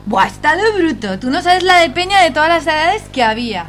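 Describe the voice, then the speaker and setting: A woman's voice: very high-pitched, sing-song, a little fast, a bit bright, soft background music, heavily acted. A woman playing a feisty, outspoken feminist from the market in a radio soap. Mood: excited.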